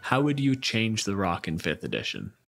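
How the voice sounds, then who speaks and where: Man, fairly low voice, podcast host, casual gaming chat, explanatory storyteller. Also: huge pitch swings, normal speed, vocal fry, over music.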